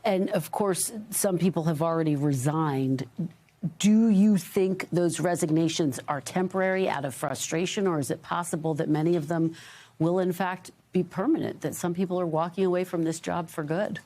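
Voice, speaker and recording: An American woman with a low-pitched voice, working as a news anchor. She puts formal questions to a guest in a measured broadcast interview style. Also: mellow.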